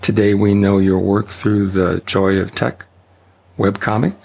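Gravelly, husky male podcast host. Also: on the low side, level tone, darkish tone. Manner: halting, many "uh"s and restarts. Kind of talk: podcast interview.

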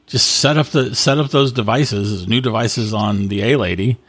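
A man in a casual tech podcast chat, talking in a rambling, halting way and often correcting himself. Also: on the low side, a bit bright, breathy edge, no room sound.

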